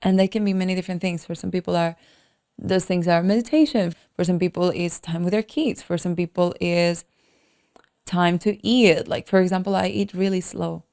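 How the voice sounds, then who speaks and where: Woman podcast coach from dominican republic, lowish creaky voice, emphatic motivational talk, repeats stuff for emphasis. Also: moderate pace, a little mellow.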